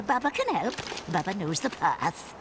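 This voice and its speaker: A woman playing a greedy, scheming old witch hag in a video game, wheedling in broken third-person speech with a creaky, croaking voice. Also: mid-range voice, big pitch swings, a little slow, noisy background. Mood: sly, wheedling. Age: older. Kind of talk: theatrical video game character acting.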